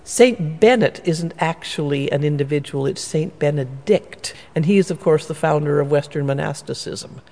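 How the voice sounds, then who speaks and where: A man with a slightly high, gravelly voice explains church history in a measured, scholarly way. His words ring out with a long reverb in a stone church. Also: darkish tone. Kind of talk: explanatory interview answers.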